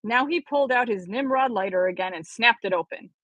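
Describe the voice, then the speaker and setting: A woman's voice: on the high side, brisk, a bit bright, no echo, lo-fi sound. A woman reading a story aloud expressively as an amateur audiobook reader.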